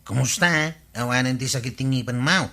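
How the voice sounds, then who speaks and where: An actor playing a caring uncle who advises and mildly scolds, in a radio comedy drama. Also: male voice, mid-range voice, fairly quick, sharp tone. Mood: gently scolding. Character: caring uncle, gently scolding adult man.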